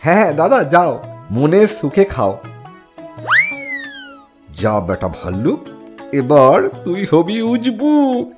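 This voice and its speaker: Swooping, crafty cartoon villager. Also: male voice, high-pitched, sing-song, slow, pauses often, music in the background, exaggerated voice. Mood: triumphant. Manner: theatrical, wheedling. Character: crafty, fearful village husband, wheedling the bear. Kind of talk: cartoon fairy-tale acting.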